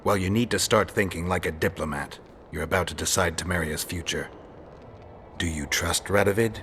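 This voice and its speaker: World-weary monster hunter, very low pitch, calm, cynical, deadpan, fantasy game dialogue, soft music behind. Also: male voice. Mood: cynical, probing. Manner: measured, deadpan, wry. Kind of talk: dramatic video game acting.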